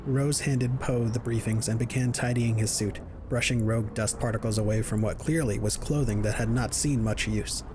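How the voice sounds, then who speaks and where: A man's voice: medium pitch, fairly even intonation, moderate pace, few pauses, background noise. A man reading scripted narration for an audio drama.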